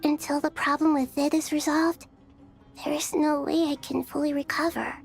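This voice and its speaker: A woman voicing a gentle, wise, childlike deity in a video game, soft and youthful, speaking formally and earnestly. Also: high-pitched, steady tone, moderate pace, gravelly. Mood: calm, earnest. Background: background music.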